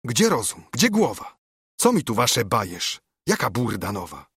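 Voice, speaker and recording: A man acts out a brooding monk in a storybook told in verse. He is earnest and urgent, admonishing as he reasons. His voice has a husky edge in a dry recording. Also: mid-range voice, huge pitch swings, unhurried, a bit bright, no echo, full character voice. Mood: scolding. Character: brooding, urgent monk, scolding and pleading with a friend. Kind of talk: dramatised audiobook reading of verse.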